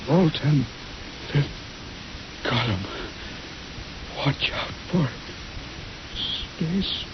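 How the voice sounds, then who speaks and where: An actor playing a dying ship's doctor in a radio drama, weak and halting, gasping out his words. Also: fairly high pitch, lively intonation. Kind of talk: 1950s radio drama acting. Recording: muffled, noisy, hissy 1950s radio recording.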